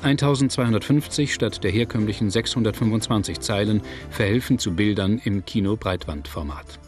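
A man narrating a scripted documentary in a measured, factual manner. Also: lowish voice, soft background music. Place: studio voice-over, music behind.

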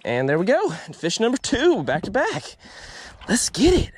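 A man's voice: high-pitched, huge pitch swings, a little slow, a little hiss or hum. Upbeat young man fishing from a boat. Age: young adult. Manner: upbeat, chatty, talks to viewers while fishing. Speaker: fishing vlogger. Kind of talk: casual vlog commentary. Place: outdoors on a small boat on a pond. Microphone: action camera on the boat.

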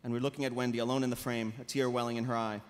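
A man's voice: mid-range voice, little pitch movement, rapid-fire. A man reading dramatic stage directions aloud at a live table read in a convention hall. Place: large indoor convention panel room. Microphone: table microphone. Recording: noisy echoey convention hall panel.

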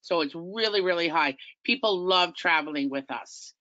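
A bright, forceful woman with a Long Island accent talks through a travel slideshow, chatty and eager. The sound is dry and close, a little dull, like home audio on a video call. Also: lowish voice, moderate pace, dry recording. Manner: chatty, enthusiastic, self-deprecating. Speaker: tour company business development manager. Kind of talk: webinar slideshow presentation.

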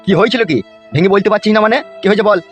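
A man's voice: high voice, rapid-fire. A man voicing an exasperated middle-aged village father in a comic cartoon, scolding bluntly and coarsely, in a dull recording. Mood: exasperated questioning. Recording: dull, muffled cartoon audio. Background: faint music.

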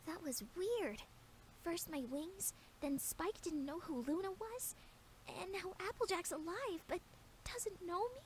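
A woman's voice: extremely high pitch, expressive, unhurried, a little hiss or hum. A woman playing a young female unicorn pony in a cartoon, bewildered and earnest, stammering with emphasis. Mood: amazed. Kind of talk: animated fan-series dialogue.

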